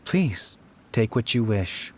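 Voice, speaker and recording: A man's voice: lowish voice, big pitch swings, takes it slowly, a little mellow, exaggerated voice. A man playing a cryptic, wry, philosophical hermit of a shrine in a video game, with theatrical, archaic phrasing. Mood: serene, knowing. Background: faint game music.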